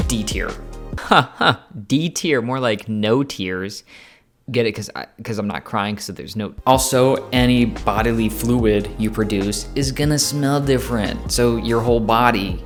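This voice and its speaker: A man in his twenties talking casually to the camera, chatty and playful, with plenty of fillers and self-corrections. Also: on the low side. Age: 20s. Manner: playful, jokey. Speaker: vlogger.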